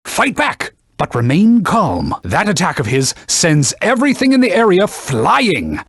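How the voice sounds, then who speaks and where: Video game casting: pompous talking sword, stern knightly mentor, high male voice, highly animated delivery, scolding combat instructions. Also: a little slow, husky, no echo, clean studio sound, full character voice. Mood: instructive, stern. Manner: pompous, scolding, archaic formal diction. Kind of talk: video game voice acting, combat tutorial barks.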